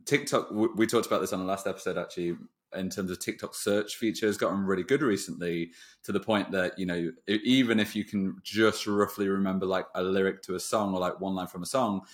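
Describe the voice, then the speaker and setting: Fast-talking man, lowish voice. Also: steady tone. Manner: wordy, discursive, long run-up to questions. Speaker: podcast host. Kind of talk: casual podcast interview chat.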